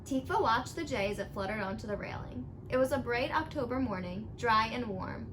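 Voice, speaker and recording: A woman with a fairly high voice reads a picture book aloud to children. Her delivery is expressive storytelling, and the recording has clear background noise. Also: a little fast. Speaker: storybook reader.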